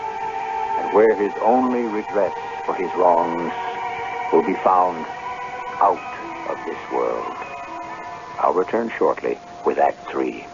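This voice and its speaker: A man hosting and narrating a 1970s radio drama from a script, with a dramatic, measured storyteller's delivery. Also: deep voice. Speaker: host and narrator. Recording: dull, noisy old radio broadcast.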